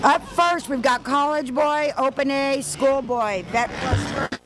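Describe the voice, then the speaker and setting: A woman's voice: sits high, unhurried, loud music behind the voice, low-quality recording. A woman commentating live at an outdoor motocross track, chatty and upbeat as she reads out the race order. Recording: loud outdoor noise.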